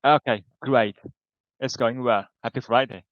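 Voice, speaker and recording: A man's voice: mid-range voice, steady tone, unhurried, no echo. A man answering job interview questions over a video call, hesitant, with short answers and long fillers. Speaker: job applicant. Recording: dull lo-fi video call audio.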